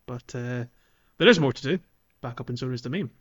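A man's voice: medium pitch, a little fast, a bit bright, muffled recording. Easygoing man co-hosting a podcast. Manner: easygoing, agreeable, chuckles, uses fillers. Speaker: podcast co-host. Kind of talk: casual chat about a video game.

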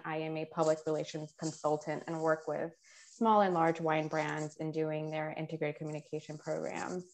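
A woman from Ecuador with a low voice introduces herself on a podcast. She sounds polished and professional. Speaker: guest, public relations consultant. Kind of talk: self-introduction.

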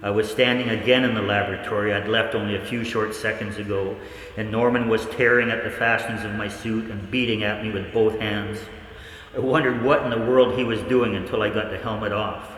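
A man acting a haunted scientist recounting cosmic horror, dramatically and deliberately, in a 1940s-style radio play. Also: on the low side, fairly even intonation, a bit bright, close to an everyday voice. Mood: haunted, brooding. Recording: echoey hall, long reverb, faint noise.

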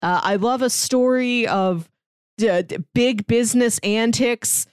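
A woman's voice: fairly high pitch, slow, clear, resonant tone, dry recording, clean studio sound. A woman podcast co-host talking casually and enthusiastically, in a chatty way. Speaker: podcast co-host pitching movies. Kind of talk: casual chat.

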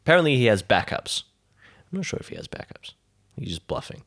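A man voicing a worried, apologetic man explaining himself haltingly in a tabletop role-playing show. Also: medium pitch, big pitch swings, fairly bright tone. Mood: earnest, apologetic.

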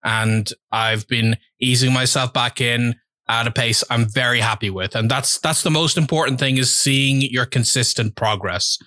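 A man talks through a personal update in a bright, forceful voice. He is candid and reflective and pauses often. The sound is close and dry, with no room around it. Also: mid-range voice, no room sound. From Ireland. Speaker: language-learning vlogger. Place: home.

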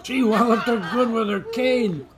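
A man playing an excitable, casual homeless onlooker in an audio drama. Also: very high-pitched, unhurried, a crowd in the background.

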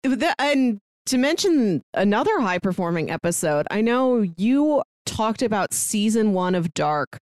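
A woman's voice: mid-range voice, sing-song, unhurried, few pauses, darkish tone, dry recording, studio quality. A woman talking as a podcast co-host in a casual, conversational way. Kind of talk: casual chat about shows and films.

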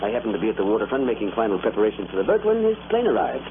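Mild polite scientist husband in old radio drama, brisk and precise, noisy thin recording. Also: male voice, fairly low pitch, very animated, phone-quality sound. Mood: chatty, pleased.

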